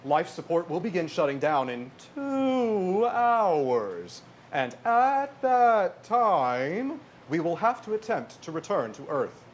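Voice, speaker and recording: Man playing a formal, higher-pitched starship first officer. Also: slow, full character voice. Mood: formal, grave. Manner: formal, deadpan. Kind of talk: deadpan parody stage acting. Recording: live stage recording with clear noise.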